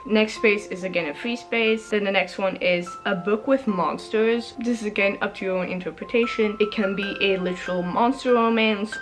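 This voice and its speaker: A young woman, a native French speaker, casually explaining reading prompts, rambling and correcting herself, laughing often. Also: fairly low pitch. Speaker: book vlogger.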